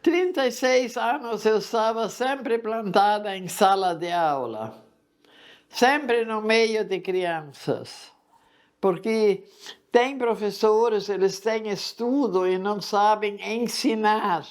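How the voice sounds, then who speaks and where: An older woman, a retired teacher with a strong German accent, recalling her years in the classroom in a slow, emphatic, matter-of-fact way. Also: mid-range voice. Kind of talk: interview reminiscence.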